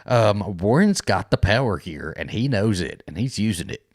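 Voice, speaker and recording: Guy with a lowish voice recapping tv, slangy and jokey, real casual. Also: very animated, moderate pace. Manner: joking, slangy, informal. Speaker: co-host of a TV recap show. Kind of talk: casual chat recapping a TV episode.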